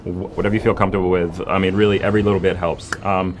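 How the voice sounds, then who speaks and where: Deep-voiced, fast-talking American man. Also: level tone, slight background noise. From Connecticut, United States. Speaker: livestream co-host reading the chat. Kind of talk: casual livestream chat.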